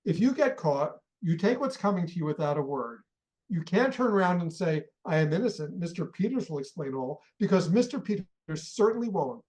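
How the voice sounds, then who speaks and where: Woman playing a blunt, commanding employer, animated delivery. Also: lowish voice, a little fast, natural voice, low-quality recording. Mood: stern, warning. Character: blunt, shrewd rich American employer, commanding and businesslike. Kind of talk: radio play acting.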